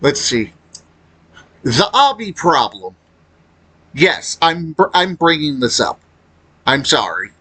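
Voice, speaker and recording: Bright-voiced man in halting, blunt commentary. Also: on the high side, sing-song, breathy edge. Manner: halting delivery, blunt complaining. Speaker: gaming video creator. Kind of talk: casual unscripted opinion commentary.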